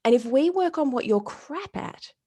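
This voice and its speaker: A woman's voice: high voice, moderate pace. A woman in her forties giving an interview as a retail strategist, telling stories bluntly and casually and acting out the people she quotes. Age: 40s. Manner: lively, animated storytelling with quoted dialogue. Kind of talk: storytelling interview answer.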